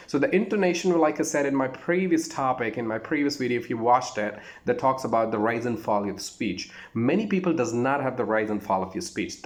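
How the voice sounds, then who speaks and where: Male voice trainer, Indian accent, medium pitch, instructive and emphatic, informal lesson delivery with repeated phrases.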